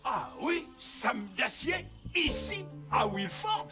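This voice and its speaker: An actor voicing a busy, kindly adult repair-garage vehicle in a children's cartoon, expressive and helpful, with loud music behind. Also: high voice, slow, gravelly, full character voice. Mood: impressed, helpful. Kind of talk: French-dubbed children's cartoon acting.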